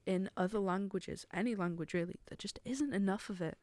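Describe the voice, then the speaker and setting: A woman hosting a casual interview, keen and quick to agree. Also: mid-range voice, darkish tone, no echo. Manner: enthusiastic, agreeing often. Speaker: podcast host.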